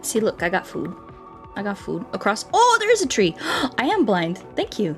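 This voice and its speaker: A woman's voice: mid-range voice, wildly swooping pitch, moderate pace, faint music behind. A woman streaming a video game, chatting casually and playfully with viewers in a scatterbrained way, often repeating her words.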